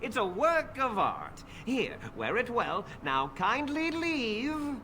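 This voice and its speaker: A man's voice: very high-pitched, huge pitch swings, slow pace, sharp tone, a little hiss or hum, full character voice. A man voicing a weary, long-suffering shopkeeper in a fantasy video game, dry and exasperated as he placates people. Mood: placating. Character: weary, long-suffering male armor shop keeper.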